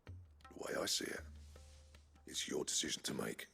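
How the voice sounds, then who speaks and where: An actor playing a proud, weary exiled smith in a game, gruff and brooding, with a husky, gravelly voice. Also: male voice, moderate pace, bright, forceful tone, soft background music, lightly acted. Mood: grave, resigned. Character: weary, proud male master smith, guilt-laden exile. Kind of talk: video game drama acting.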